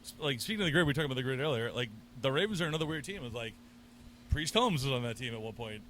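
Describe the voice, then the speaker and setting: A man co-hosting a podcast, talking sports excitedly with lots of stammered restarts. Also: mid-range voice, racing, slight background noise. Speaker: podcast co-host. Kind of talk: casual sports chat. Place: sports bar.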